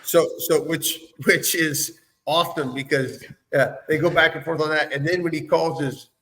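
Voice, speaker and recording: A man's voice: a little high, steady tone, normal speed, pushed and bright, poor audio. A man co-hosting a casual recap chat, agreeably chiming in with short affirmations. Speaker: co-host.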